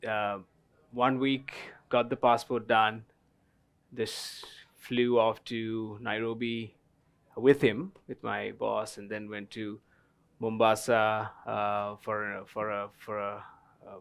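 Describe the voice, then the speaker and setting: A man from Sri Lanka in his thirties speaks at a live festival panel. His voice sits low and comes across smooth and resonant. He tells his story in an easy, rambling way, with plenty of ums along the way. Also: little pitch movement, takes it slowly. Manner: storytelling, many fillers, laughs at own story. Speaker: tea company guest. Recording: live festival stage talk.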